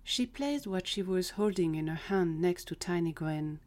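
A woman's voice: on the low side, expressive, moderate pace, darkish tone. A woman reading scripted third-person narration for an audio drama.

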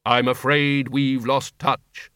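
A man voicing a grave male stranger who speaks slowly and formally. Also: mid-range voice, flat delivery, a bit bright, smooth, no room sound, studio quality. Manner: slow, formal, measured. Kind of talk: audiobook character voice.

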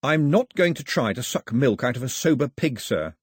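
Man voicing a wry, stubborn, husky young islander. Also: mid-range voice, lively intonation, moderate pace, no room sound, voice pushed up. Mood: firm. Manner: dry, firm, defiant.

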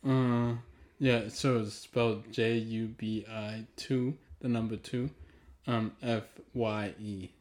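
Man, native Twi speaker from Ghana, podcast host, unhurried, mellow, hesitant and thoughtful. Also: on the low side, fairly even intonation. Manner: hesitant, thoughtful, trailing pauses. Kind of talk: casual reflective conversation.

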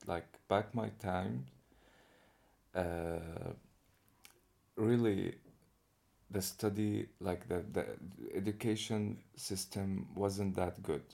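A man's voice: low voice, fairly even intonation, slow, creaky, warm tone. A young man from Saudi Arabia as an interview guest, chatting casually and laughing often as he tells stories. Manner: easygoing, laughs often while telling stories. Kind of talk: casual conversation.

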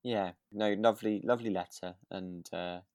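A woman's voice: extremely low pitch, fairly even intonation, moderate pace, a little mellow, dry recording, clean studio sound. A British woman co-hosting a podcast, chatting casually in an agreeable, rambling, hesitant way. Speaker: podcast co-host. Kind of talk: casual chat reviewing a radio drama.

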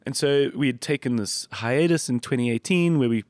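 A middle-aged South African man hosts a casual chat in a close, dry recording. His voice is slightly croaky and sits at a medium pitch. He tells anecdotes in a self-deprecating way. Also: fairly quick, a little mellow, no room sound. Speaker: podcast host.

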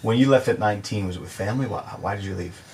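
A man's voice: fairly low pitch, racing, a little mellow, recorded from a distance. A man hosting an interview, steering with brief questions and short reactions. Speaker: podcast host. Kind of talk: casual interview chat.

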